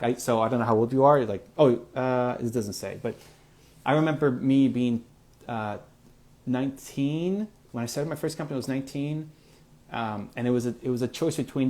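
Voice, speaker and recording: A man in his forties hosting a motivational livestream, reading out viewer questions and answering them in an energetic, encouraging, chatty way. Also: medium pitch. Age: 40s. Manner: energetic, encouraging, conversational with fillers. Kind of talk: live Q&A answering viewer comments.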